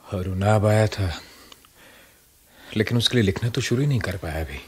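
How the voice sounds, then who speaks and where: Worn out writer husband, middle aged, wry radio drama voice, husky and expressive. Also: male voice, on the low side, moderate pace. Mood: weary, defensive. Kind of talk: radio play acting.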